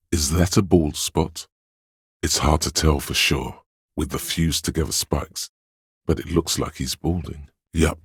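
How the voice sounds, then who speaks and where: A calm, clinical inner sense that speaks straight into the player's head, its voice extremely low and rough with breath. It narrates in a measured, detached way, recorded bone-dry and very close. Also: male voice, no room sound, heavily acted. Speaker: voice actor. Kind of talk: narrated game skill voice.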